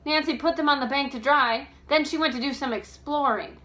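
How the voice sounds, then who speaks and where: A woman's voice: high-pitched, a little fast, sharp tone, voice pushed up. A woman voicing an impatient, brusque young woman in a storybook, speaking in an emphatic, commanding way. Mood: impatient, indignant.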